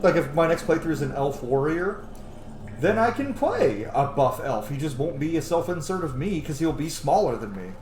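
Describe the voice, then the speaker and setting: A man's voice: on the high side, sing-song, normal speed, roomy sound, noisy background. A man giving casual, rambling, opinionated gameplay commentary as a streamer, swearing freely. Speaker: streamer playing a video game.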